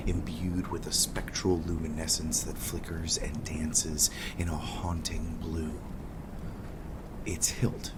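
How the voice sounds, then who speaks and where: A man narrating scripted dark fantasy horror as a game master, with deliberate, ornate dramatic delivery. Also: deep voice, heavy background noise. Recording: music behind the voice.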